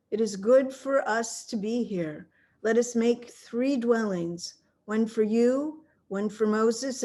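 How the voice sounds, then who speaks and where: A woman's voice: mid-range voice, a little mellow. Woman reading scripture expressively. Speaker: scripture reader. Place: at home, on a video call. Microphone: video call.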